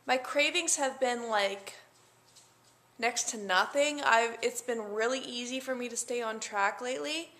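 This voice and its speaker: A woman's voice: fairly high pitch, measured pace, fairly bright tone. A young adult woman chatting casually to camera in a diary-style vlog, rambling with lots of fillers. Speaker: vlogger.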